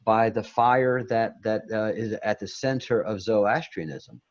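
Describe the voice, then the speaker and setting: Man, low voice, rambling spiritual chat over a muffled call line, restarts and repeats. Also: steady tone, measured pace. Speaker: guest on a meditation talk.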